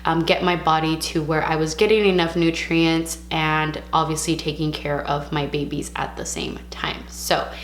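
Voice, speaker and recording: A young woman talks frankly and casually in a slightly lower voice, a touch raspy from a sore throat, dropping in the odd Spanish word. Her voice bounces around an echoey room over a faint steady hum. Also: moderate pace, few pauses. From California. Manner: chatty, frank, sprinkles in Spanish words. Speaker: vlogger. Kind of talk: casual vlog talk to camera.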